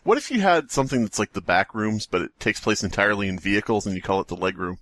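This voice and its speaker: A man co-hosting a podcast with casual, mocking, deadpan comic banter. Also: lowish voice, quick pace, no room sound. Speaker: podcast co-host.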